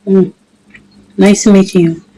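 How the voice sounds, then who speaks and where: A woman's voice: mid-range voice, level tone, slow pace, mellow, rough recording. A woman with a Trinidadian accent chatting casually in brief answers on an online video call. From Trinidad and Tobago. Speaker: conversation partner.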